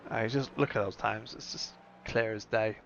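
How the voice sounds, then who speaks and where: A man's voice: lowish voice, steady tone, moderate pace, creaky, fairly bright tone. A man hosting a live sim racing stream with casual, conversational commentary, thinking aloud as he goes. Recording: muffled lo-fi audio with background noise.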